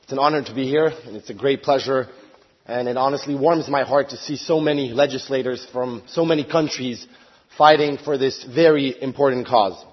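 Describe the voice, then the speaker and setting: A man's voice: mid-range voice, normal speed, slightly rough, muffled recording. A man from Hungary, a member of parliament, giving an earnest prepared speech at a conference and rising to emphatic appeals.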